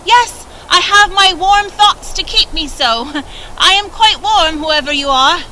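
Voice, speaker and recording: A woman's voice: very high-pitched, lively intonation, slow, slight background noise, voice pushed up, full character voice, poor audio. A woman voicing a cheerful, patient young girl who speaks sweetly. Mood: gentle. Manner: sweet and bright.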